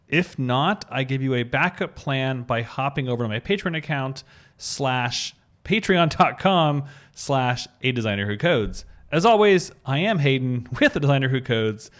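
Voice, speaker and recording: A man's voice: mid-range voice, animated, moderate pace, a bit bright. A man hosting a step-by-step coding tutorial, explaining as he goes and spelling things out in an instructional way. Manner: instructional, spells out code letter by letter. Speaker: web design tutorial host.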